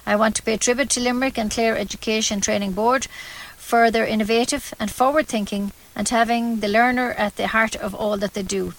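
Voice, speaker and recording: A woman's voice: a little high, rough recording. A woman with an Irish accent reading scripted local news on community radio, formal with the occasional stumble.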